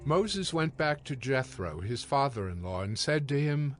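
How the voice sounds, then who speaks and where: A man narrating a dramatized scripture reading in a measured, formal storytelling manner. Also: medium pitch, big pitch swings, faint music behind.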